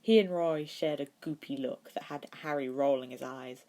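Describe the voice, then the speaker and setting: A woman's voice: extremely low pitch, normal speed, dark tone. A woman narrating fan fiction as a podfic reader.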